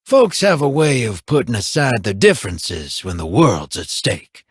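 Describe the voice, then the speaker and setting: An actor playing a wry, easygoing young human scout who talks in a casual, joking, self-mocking way, with a husky voice. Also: male voice, mid-range voice, very animated, a little slow, no room sound, clean studio sound. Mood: warm.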